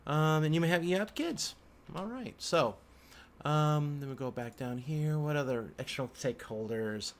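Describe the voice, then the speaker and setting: A man giving an informal tutorial as an instructor, thinking aloud in halting phrases with frequent restarts. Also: fairly high pitch. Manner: halting, hesitant phrasing with repeated word restarts. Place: indoors at a computer. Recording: clear background noise, keyboard typing.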